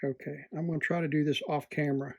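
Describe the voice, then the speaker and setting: A man at an indoor workbench gives an unscripted, hands-on repair demonstration, thinking aloud in a halting way as he works. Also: fairly high pitch, little pitch movement, creaky, dry recording, clean studio sound. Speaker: electronics repair hobbyist presenting.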